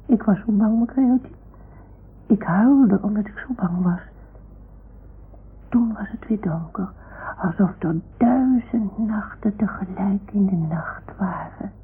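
A woman playing a small, timid toy dog who tells stories in a childlike, hushed way in a radio play. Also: mid-range voice, slow pace, natural voice. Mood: frightened wonder. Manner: childlike, breathy hushed storytelling. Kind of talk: 1960s-70s radio play acting. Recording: muffled, hissy old radio recording.

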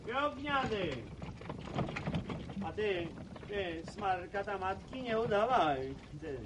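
High-pitched, cheeky village joker. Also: male voice, animated, slow, recorded from a distance, heavy background noise. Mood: scolding. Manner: earthy, jocular, colloquial village talk. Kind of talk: Polish radio serial acting.

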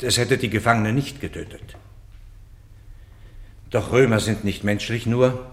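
An actor playing a proud, stoic captured Roman general in a German verse radio play, declaiming with measured dignity. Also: male voice, medium pitch, reverberant, lightly acted.

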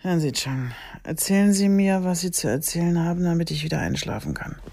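A man's voice: a little high, brisk, vocal fry, mellow. An actor playing a sleepy, irritable man, grumpy and insulting after being woken, in a radio crime drama. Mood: sleepy irritation. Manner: weary, irritable. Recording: faint noise and clicks.

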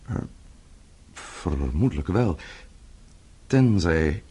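An actor in a radio drama playing a worried, courteous husband, hesitant and polite, with a very husky voice. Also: male voice, low-pitched, lively intonation, extremely slow, mellow, full character voice. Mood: doubtful, serious. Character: worried, courteous husband, deferential to his father-in-law.

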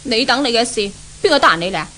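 A spoiled, thrill-seeking young woman with a high voice and a Hong Kong accent. She is haughty, petulant and quick to mock, played in the manner of 1970s radio drama. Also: expressive, pushed and bright, slight background noise. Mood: scornful, petulant.

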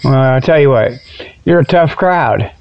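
A man's voice: medium pitch, expressive, a little slow, muffled recording. An American man hosting a solo radio show, rambling in a folksy, joking way with stammered repetitions. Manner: folksy, jokey, stammering repetitions, chuckles at own jokes. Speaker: internet radio show host. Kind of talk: rambling solo comic monologue, mock product pitches.

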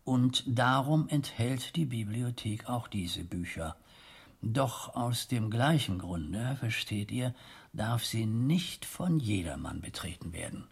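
An actor playing a stern, pious old medieval abbot in a German radio drama, speaking in a formal, rhetorical and measured way. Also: male voice, mid-range voice, lively intonation, vocal fry, slightly rough, full character voice. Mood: fervent, preaching.

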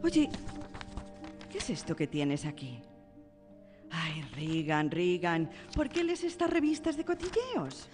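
Radio drama role: playful mother, indulgent, swooping intonation, lowish pitch, music underneath. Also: big pitch swings, takes it slowly, pauses often, big-room sound. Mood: teasing. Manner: teasing, indulgent. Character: playful, affectionate mother of a young girl.

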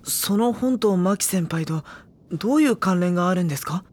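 An actor playing a reflective, polite teenage schoolboy, narrating an audio drama in a measured, introspective way. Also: mid-range voice, lively intonation, natural voice. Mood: questioning. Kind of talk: Japanese audio drama, first-person narration. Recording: background music under voice.

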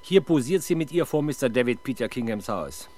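A man's voice: medium pitch, expressive, fairly quick, mellow, lightly acted. An actor playing a sardonic, exasperated male police detective in a 1970s German radio crime drama, with dry, sarcastic delivery. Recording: 1970s radio production with faint background noise.

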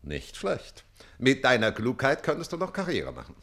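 A man playing a haughty, jealous nobleman in a theatrical radio play, speaking imperiously and sometimes dropping into slow muttering. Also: wildly swooping pitch, a bit bright, heavily acted. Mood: sly, commanding. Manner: imperious, swinging from booming questions to slow muttering. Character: haughty, jealous, lustful nobleman count and master.

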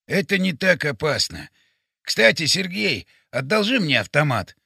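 A man voicing a determined older father in an audiobook, with a slow, measured delivery. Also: a little high, big pitch swings, creaky, sharp tone, husky, dry recording, clean studio sound, full character voice. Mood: calm. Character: determined father, calm older man intent on rescuing his daughter.

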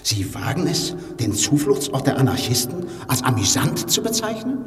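An actor playing a passionate anarchist poet in a 1950s radio play, scornful and at times hushed and menacing. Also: male voice, medium pitch, big pitch swings, normal speed, long reverb tail, soft background music, lightly acted. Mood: intense. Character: fiery male anarchist poet, intense and scornful.

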